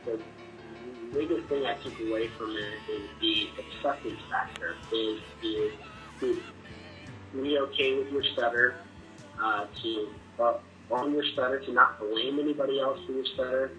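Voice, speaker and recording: Stuttering young man, very bright voice. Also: mid-range voice, normal speed, soft background music, poor audio. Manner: stutters, with repeated sounds and restarts. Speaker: person sharing his experience. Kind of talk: personal testimony to camera.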